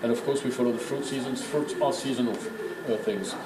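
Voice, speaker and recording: A man's voice: mid-range voice, steady tone, fairly quick. A man working as a brewery tour guide, explaining things informally in an emphatic, opinionated, didactic way. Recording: echoey room, clear background noise.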